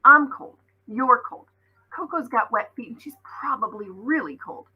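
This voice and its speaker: Lady doing storybook boy, practical n cheerful, matter of fact, bit husky. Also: on the high side, lively intonation, a little slow, lo-fi sound, full character voice.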